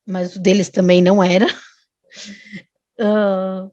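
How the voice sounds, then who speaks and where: A woman's voice: medium pitch, level tone, takes it slowly, darkish tone, no echo, lo-fi sound. A woman, a guest telling a long personal story in casual chat, often restarting and adding tag questions. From Brazil.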